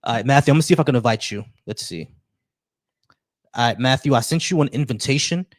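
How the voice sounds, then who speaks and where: A man chats loosely on a live stream, welcoming viewers and trailing into little restarts. His voice sits at an average pitch for a man. The tone stays casual and unhurried. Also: lively intonation. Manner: laid-back, shout-outs to viewers, many restarts. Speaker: livestream host.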